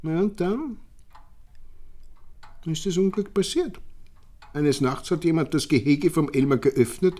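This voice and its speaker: An actor playing a genial, self-satisfied count with an Austrian accent, courtly, chummy and long-winded in a radio drama. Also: male voice, a little high, animated, unhurried, pauses often, dark tone. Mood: wistful, defensive. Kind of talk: German-language radio drama acting.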